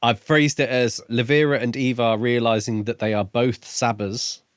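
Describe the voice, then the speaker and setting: A man's voice: medium pitch, little pitch movement, normal speed, no echo, studio quality. A man co-hosting a podcast in a casual discussion about books. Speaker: podcast co-host.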